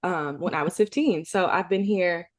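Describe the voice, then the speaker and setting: A woman's voice: fairly low pitch, normal speed. A young adult woman hosting a podcast, from Chicago, chatting with enthusiasm and saying y'all and okay a lot. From Chicago, USA. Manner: enthusiastic, warm-hearted, says y'all and okay often. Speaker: podcast host. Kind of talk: casual interview chat.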